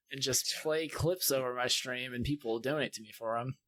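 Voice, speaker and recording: A man's voice: medium pitch, moderate pace, bright, forceful tone. A man co-hosting a podcast, casually chatting and telling anecdotes about games. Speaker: podcast co-host.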